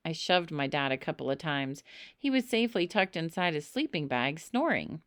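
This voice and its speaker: A woman reading a children's story aloud in an expressive narrating style, with emphatic, dramatic phrasing. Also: low-pitched, normal speed, clear, resonant tone, no room sound, clean studio sound. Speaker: storybook reader.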